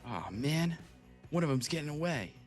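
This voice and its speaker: A man's voice: on the high side, expressive, moderate pace, soft background music, close to an everyday voice. A man playing a savvy, blunt young boy, a jaded little brother, in a live comedic table read. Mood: alarmed, amazed.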